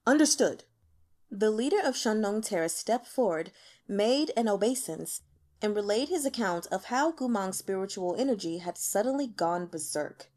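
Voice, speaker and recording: A woman reading a novel aloud as an expressive storyteller. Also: medium pitch, normal speed. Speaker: audiobook reader. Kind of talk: expressive scripted story narration.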